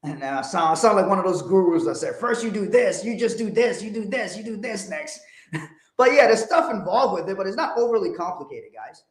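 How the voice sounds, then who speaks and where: A man's voice: high voice, lively intonation, fairly quick. A man from Liberia, hosting a casual livestream about money and business, talking emphatically, repeating phrases and swearing bluntly. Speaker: financial adviser host. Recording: echoey room.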